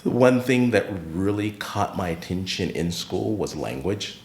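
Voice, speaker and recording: A middle-aged American man, a podcast guest and retired teacher, telling stories of his life in an unhurried, reflective way that turns lively when he recounts encounters. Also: low-pitched. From United States. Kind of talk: casual interview storytelling. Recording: roomy echoey room.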